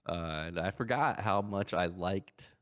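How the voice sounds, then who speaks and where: A man's voice: low voice, measured pace. A man hosting a podcast, chatting casually about video games and often circling back to repeat his phrases. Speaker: podcast host.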